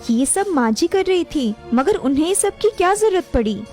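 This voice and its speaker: A woman's voice: extremely high pitch, very animated, normal speed, darkish tone, faint music behind, pitched above the performer's own voice. A woman voicing a deferential, worried younger daughter-in-law in a cartoon story.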